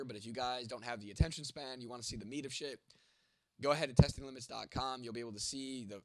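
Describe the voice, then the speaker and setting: Young male streamer, congested and a bit hoarse, laid-back chat, dry close sound. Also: medium pitch, no room sound. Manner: laid-back, swears casually. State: congested, losing his voice, coughing. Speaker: livestream host. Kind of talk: casual livestream chat, reading viewer comments.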